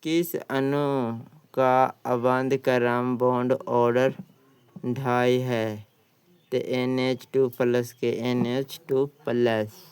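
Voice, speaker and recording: A male coaching teacher reads practice questions and answers at a slow, deliberate pace. His voice is very clean and smooth, with little melodic variation and a dry, close sound. He tends to repeat the answers. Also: mid-range voice, level tone, a little mellow, no room sound, low-quality recording.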